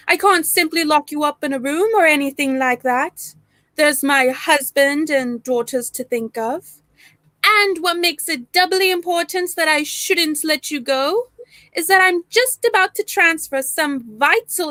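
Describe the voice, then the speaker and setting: Actress as genteel spy villainess, 1930s radio drama: high-pitched, slow, deliberate menace. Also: female voice, sharp tone, no room sound. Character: suave, menacing female professor and secret spy. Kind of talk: 1930s radio play acting.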